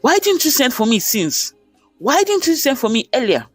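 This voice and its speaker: A man's voice: extremely high pitch, wildly swooping pitch, moderate pace, no echo, soft background music, voice pushed up, full character voice. A man voicing a vengeful older Nigerian mother in a folktale, indignant and berating, with exclamations. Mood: alarmed, indignant.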